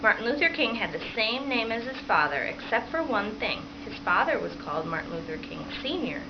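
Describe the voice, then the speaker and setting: A woman's voice: on the high side, sing-song, a little fast. Lady teacher doing a read aloud w kids, asks lots of questions, muffled audio w background noise. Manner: prompting, question-filled, teacherly. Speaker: primary school teacher. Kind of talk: reading a picture book aloud to children, stopping to question them. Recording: dull lo-fi sound with clear background noise.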